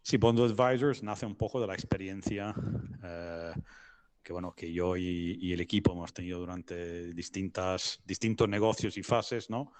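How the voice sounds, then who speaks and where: A middle-aged man from Italy with a low-pitched voice, recorded dry and close. He speaks Spanish as a non-native, explaining things conversationally as a guest, often halting and repeating words as he searches for the next one. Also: steady tone, a little mellow, clean tone, no room sound. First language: not Spanish. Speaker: interview guest, business advisor.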